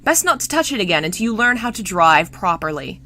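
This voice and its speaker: A woman's voice: medium pitch, big pitch swings, a little fast, sharp tone, soft background music, voice pushed up. A woman voicing a composed young crown prince in a novel reading, firm, earnest and chiding in his exasperation.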